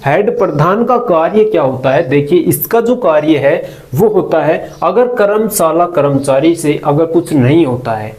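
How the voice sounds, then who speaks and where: A man's voice: fairly high pitch, animated, normal speed, hardly any pauses, darkish tone. A man giving an informal explainer lesson, conversational, often posing questions and answering them himself with frequent check-in tags. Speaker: presenter of a job-recruitment explainer channel.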